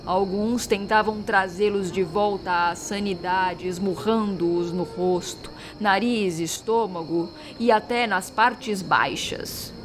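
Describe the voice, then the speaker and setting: A woman playing a very old street woman who tells eerie sea legends in a measured, ominous, dramatic audio-drama style. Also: medium pitch, steady tone, noisy background, lightly acted. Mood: ominous, mysterious.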